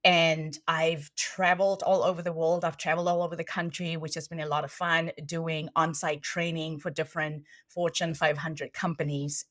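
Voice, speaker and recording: A middle-aged woman from South Africa with a South African accent, a trainer and coach chatting animatedly as a podcast guest. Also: sits low. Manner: animated, laughs easily, repeats words when starting. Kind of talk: conversational interview.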